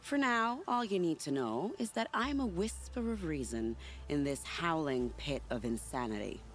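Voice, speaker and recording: A woman's voice: low-pitched, lively intonation, normal speed. An actress playing a sly, flirtatious female spy in a game, teasing and confiding in a playful tone. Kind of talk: video game cutscene acting.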